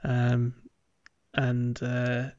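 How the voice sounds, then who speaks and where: A man's voice: medium pitch, completely flat delivery, very slow, darkish tone, no room sound. Man chatting casually, slightly dull audio. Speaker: podcast host. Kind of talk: casual gaming discussion.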